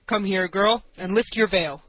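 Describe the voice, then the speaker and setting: A woman's voice: mid-range voice, lively intonation, normal speed, fairly bright tone, no echo, rough recording. A woman voicing a kindly, authoritative male judge in an audiobook. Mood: stern. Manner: authoritative, paternal. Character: kindly male judge, harsh-toned at first, soft-hearted.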